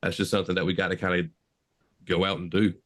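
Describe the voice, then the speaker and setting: Low, flat-voiced younger man. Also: fast. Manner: reflective, many fillers. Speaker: podcast co-host, EMS instructor. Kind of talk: casual chat.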